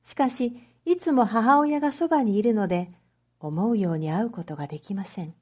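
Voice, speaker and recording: A woman reading a story aloud as a narrator, in a measured, clearly phrased storytelling manner for reading practice. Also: medium pitch, mellow. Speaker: narrator, Japanese reading teacher.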